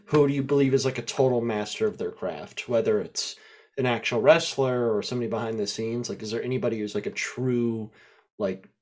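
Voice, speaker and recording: A man with an everyday mid-pitched voice hosts a casual podcast. He asks long, rambling questions full of fillers and sounds eager to talk. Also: a little mellow. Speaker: podcast host.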